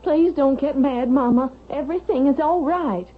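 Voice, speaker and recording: An actress playing an anxious, placating grown daughter in a vintage radio drama, eager and pleading in manner. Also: female voice, high voice, normal speed, close to an everyday voice. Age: adult. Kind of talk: 1970s radio drama acting. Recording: tinny vintage radio recording.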